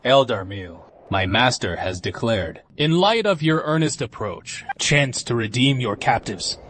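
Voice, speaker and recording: A man's voice: medium pitch, animated, a little slow, a bit bright, a little hiss or hum. An actor playing a smug male envoy who delivers his master's demands with a taunting, formal manner in an anime dub.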